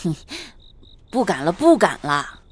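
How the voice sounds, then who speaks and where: A woman playing a doting, playful young husband in a Chinese period audio drama, with an eager, expressive way of talking. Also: fairly low pitch, unhurried, a little hiss or hum. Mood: sheepish. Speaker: voice actor in an amateur audio drama.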